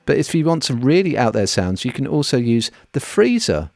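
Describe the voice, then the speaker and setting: A man presenting an audio software walkthrough in a scripted, explanatory narration, recorded close on a voice-over mic. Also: mid-range voice, sing-song, normal speed, gravelly, music in the background.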